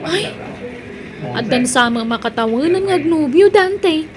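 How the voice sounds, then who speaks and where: A woman's voice: high-pitched, lively intonation, unhurried, crowd noise. A woman with an Ilocano accent playing a nosy, chatty cousin in a comedy drama. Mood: curious. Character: nosy, chatty female cousin prying into family matters.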